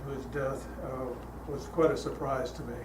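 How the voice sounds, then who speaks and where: An older man speaking as a guest at a church, telling stories in a relaxed, chatty way with frequent fillers, his voice calm. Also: mid-range voice, normal speed. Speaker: guest speaker leading a guided meditation. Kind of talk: storytelling talk to a congregation. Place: church hall. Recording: echoey hall, heard from a distance, noisy.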